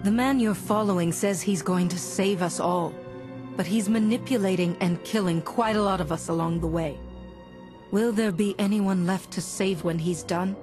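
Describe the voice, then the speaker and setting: Woman doing a calm persuasive jedi hero for a video game, earnest, mid pitch, music under it. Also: moderate pace, close to an everyday voice. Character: composed, persuasive, compassionate Jedi heroine. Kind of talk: video game cutscene acting.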